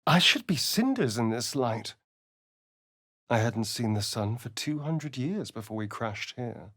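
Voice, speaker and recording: An actor voicing a vain, sardonic vampire spawn rogue in a video game, arch and theatrical with a drawling delivery. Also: male voice, medium pitch, big pitch swings, a little slow, fairly bright tone, no room sound, studio quality, full character voice. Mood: wary, muttering. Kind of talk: video game character voice lines.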